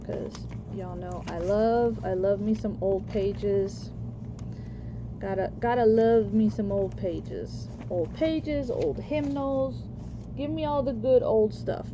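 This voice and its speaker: A woman in her forties from Costa Rica, chatting slowly with viewers as she works on a paper craft. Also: a little high. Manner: slow, chatty, answers chat comments while working. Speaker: craft livestream host. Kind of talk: casual crafting chat with viewers. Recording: lo-fi with background noise.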